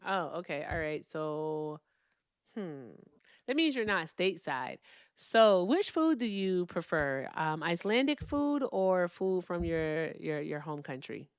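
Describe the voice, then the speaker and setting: A woman's voice: on the low side, sing-song, measured pace, clean tone, dry recording, clean studio sound. Blunt, profane woman livestream host. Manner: blunt, profane, laughs often. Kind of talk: casual livestream chat.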